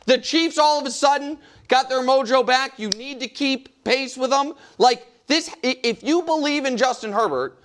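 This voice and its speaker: A man's voice: very high voice, normal speed, hardly any pauses, a bit bright, slight background noise. A man hosting a sports debate show, arguing in an emphatic, needling manner. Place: TV studio.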